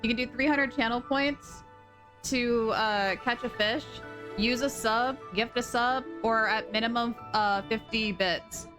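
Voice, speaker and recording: A young woman with a sore throat chatting casually and excitably on a live stream, scatterbrained and full of gasps. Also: a little high, unhurried. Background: background music.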